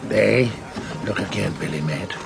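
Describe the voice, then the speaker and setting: A man's voice: on the low side, unhurried, gravelly. A man playing a sly, menacing pirate quartermaster, muttering and insinuating, in an audio drama. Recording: loud water and boat noise behind.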